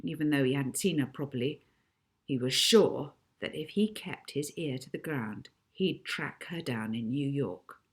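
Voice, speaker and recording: A woman reading a children's novel aloud as an expressive, deliberate audiobook narrator. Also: low-pitched.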